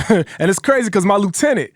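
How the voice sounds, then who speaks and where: A man, a former police officer, telling a story in a relaxed podcast chat, laughing often and acting out voices. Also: high-pitched, fairly quick, no room sound, clean studio sound. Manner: animated, laughing, acts out quoted voices. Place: small podcast studio. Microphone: studio mic on boom arm, headphones.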